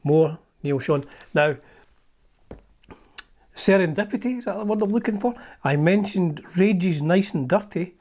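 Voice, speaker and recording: A man's voice: sits high, a little slow, a little mellow. A middle-aged man with a Scottish accent, hosting and chatting casually as he flips through an old magazine, rambling with frequent ums and restarts. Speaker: host.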